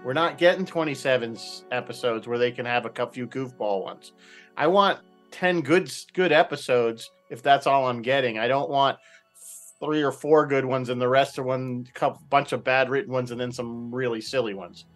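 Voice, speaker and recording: Halting man in a panel discussion. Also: mid-range voice, a bit bright, soft background music. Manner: halting, hedging, repeats himself. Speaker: panelist on a radio roundtable. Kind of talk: casual opinionated discussion. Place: radio roundtable discussion.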